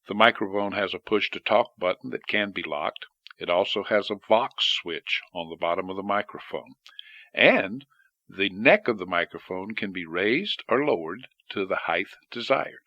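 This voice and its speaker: A man giving an informal product demonstration, speaking in a measured, matter-of-fact way as the presenter. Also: low-pitched, fairly bright tone, no room sound. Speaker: presenter demonstrating vintage radio microphones.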